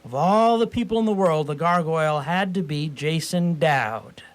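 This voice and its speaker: A man narrating a scripted radio play as a theatrical, deliberate storyteller. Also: on the high side.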